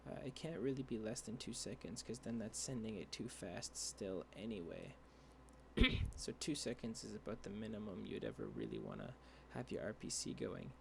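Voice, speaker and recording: A young man explaining a coding tutorial over a screen share, rambling and halting with many fillers and restarts, his voice dry. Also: lowish voice, big pitch swings, vocal fry, a little mellow, slight background noise. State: dry voice, clearing throat. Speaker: tutorial creator.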